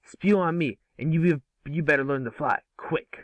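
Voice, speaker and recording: A brash cartoon boy hero, played by a man, cocky and teasing. The voice sits a little high and is recorded dry and close. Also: moderate pace, dry recording, close to an everyday voice. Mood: wisecracking. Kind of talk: cartoon table-read acting.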